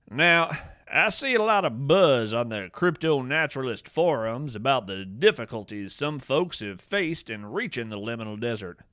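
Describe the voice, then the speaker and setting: A man's voice: mid-range voice, bright, forceful tone, no room sound, clean studio sound. A man playing a folksy, eccentric naturalist podcast host, delivering a whimsical scripted monologue in a theatrical, wry way. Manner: theatrical, folksy, wry, addresses listeners as friends. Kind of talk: scripted comedic monologue, radio-show storytelling.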